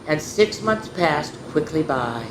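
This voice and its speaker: A woman's voice: low voice, animated, slow pace. A woman hosting a children's story time, reading picture books expressively and chatting directly to her young listeners. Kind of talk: animated picture book reading with chatty asides. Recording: echoey room, clearly noisy background hum.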